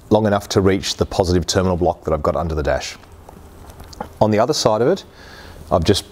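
Male how-to host, 40s, fairly low pitch, practical and plain-spoken, talking through each step. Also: darkish tone. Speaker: host of boat repair channel. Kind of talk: how-to demonstration, explaining steps. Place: workshop garage, indoors.

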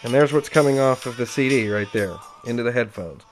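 A man's voice: mid-range voice, fairly quick, faint music behind. A man giving a casual, unscripted, chatty demonstration of equipment, explaining as he goes with dry enthusiasm. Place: indoors at home, close-up tabletop demonstration.

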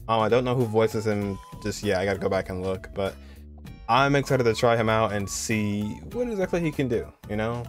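A man in his twenties giving casual, conversational commentary as the host of a game reaction video. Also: fairly low pitch. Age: 20s.

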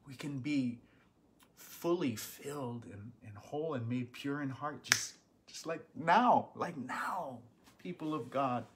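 Man, 50s, pastor, slow deliberate teaching, mid-pitched voice, webcam at home. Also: slight background noise. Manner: earnest, animated hand gestures, bursts of emphasis. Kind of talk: livestreamed Bible study teaching. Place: small living room at home.

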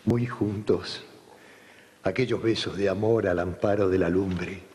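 A middle-aged Argentine actor playing an anguished, adoring lover in an impassioned, melodramatic radio soap opera style. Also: male voice, low-pitched, fairly even intonation, a little slow, a little mellow. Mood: ardent. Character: anguished, adoring male lover, tender and feverish. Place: TV studio radio-play stage. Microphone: large vintage studio microphone. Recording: reverberant studio sound over music.

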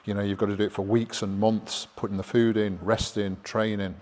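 Calm older-sounding male gym trainer. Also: on the low side, brisk. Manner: calm, steady, instructive. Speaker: personal trainer. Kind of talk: coaching during a workout. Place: indoor gym. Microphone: lapel mic. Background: background music.

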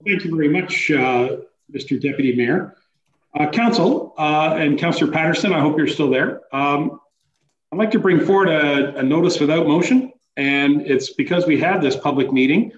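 A man with a somewhat high voice reads out a council resolution and calls for the vote. He is heard over a video call. The room is echoey, with faint background noise and dull, muffled audio. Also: normal speed. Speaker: mayor. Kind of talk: formal motion reading.

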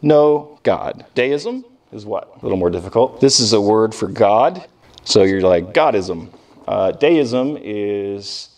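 A man giving an informal classroom lecture, conversational and emphatic, asking rhetorical questions and pausing for weight. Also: medium pitch, darkish tone. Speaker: history professor lecturing. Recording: distant microphone in live lecture.

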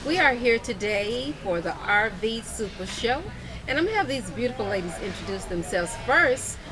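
A woman with a fairly high voice works as an interviewer, chatting in an upbeat way and repeating phrases for emphasis. She is recorded in a big indoor show hall, a little way from the camera. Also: expressive, moderate pace. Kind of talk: upbeat on-location interview chat.

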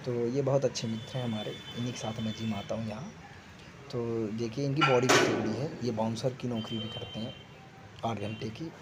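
A man's voice: mid-range voice, fairly even intonation, fairly quick. A young man from an Indian village talking casually to viewers as a fitness vlogger, often repeating his phrases. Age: young adult. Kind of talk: casual workout vlog talk to viewers. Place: gym, later outdoors on a busy street. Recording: noisy phone recording with loud ambient noise.

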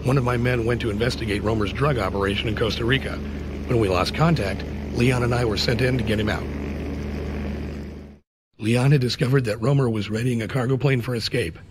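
Man acting as hard-bitten senior agent, terse, medium-pitched voice, game cutscene, very loud background noise. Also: fairly even intonation, brisk, lightly acted. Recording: loud vehicle and ambient noise.

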